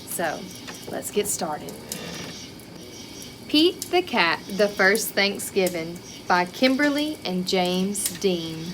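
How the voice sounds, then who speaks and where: Slow-paced woman reading to children. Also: mid-range voice, slight background noise. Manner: expressive, child-directed, with rhetorical questions and exclamations. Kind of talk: read-aloud picture-book storytelling with chatty asides.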